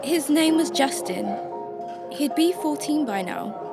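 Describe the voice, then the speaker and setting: A woman playing a grieving mother searching for her missing husband in a radio drama, with a halting, emotional delivery. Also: high-pitched, lively intonation, full character voice. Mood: reflective, wistful. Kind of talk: radio drama acting and first-person narration. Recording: music under the voice.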